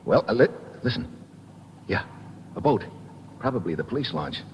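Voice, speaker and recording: An actor playing a tough, wry hard-boiled American adventurer, terse and slangy, in a 1950s radio drama. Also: male voice, mid-range voice, big pitch swings, normal speed, lightly acted. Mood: urgent. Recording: muffled old radio recording, reverberant, noisy.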